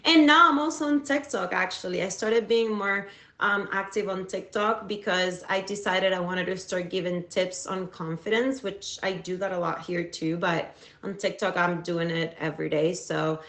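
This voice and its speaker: A woman's voice: lowish voice, fairly even intonation, normal speed, a bit bright. Upbeat woman hosting a livestream. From Cuba. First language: Spanish. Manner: upbeat, chatty, many fillers and restarts. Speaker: livestream talk show host. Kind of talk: casual livestream talk. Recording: echoey room.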